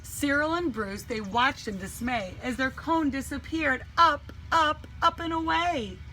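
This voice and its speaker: A woman reading a children's storybook aloud in an animated, dramatic way with deliberate emphasis. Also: high-pitched, poor audio. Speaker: teacher reading a picture book.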